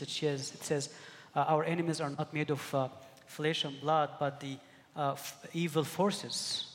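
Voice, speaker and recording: A middle-aged man from Egypt delivers a sermon in a slightly high voice. He speaks earnestly, often doubling back and repeating words as he finds his way. His voice rings out with a long reverb, as in a large church hall. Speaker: pastor.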